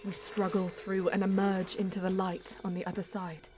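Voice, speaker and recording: A woman playing a solemn, proud female Eldar bonesinger in a sci-fi audio drama, narrating in a measured, hushed, portentous way. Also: mid-range voice, flat delivery, lightly acted. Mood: solemn awe. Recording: music under the voice. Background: music.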